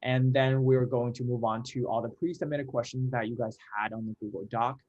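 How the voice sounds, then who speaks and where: A man's voice: medium pitch, fairly even intonation, a little fast, darkish tone. A man in his twenties moderating an online Q&A panel over a video call, talking with many fillers and restarts. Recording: dull lo-fi call audio.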